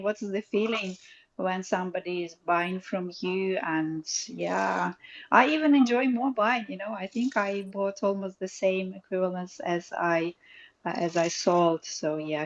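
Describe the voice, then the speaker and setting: A woman's voice: mid-range voice, highs cut off. A woman speaking as a guest, giving casual, emphatic advice in non-native English phrasing over an online audio call.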